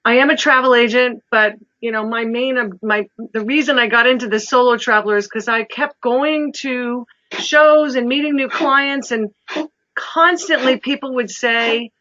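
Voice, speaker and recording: A woman's voice: a little high, moderate pace, bright, forceful tone, dry recording, dull audio. A woman travel agent giving an informal, rambling presentation to a group as its organizer. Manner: chatty, rambling with restarts.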